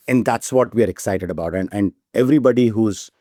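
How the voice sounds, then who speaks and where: Man, lowish mellow voice, dry sound, keen startup guest who keeps checking in with "right?". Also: fast talker, dry recording. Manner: enthusiastic, repeats "right?" as a tag. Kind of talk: casual interview talk.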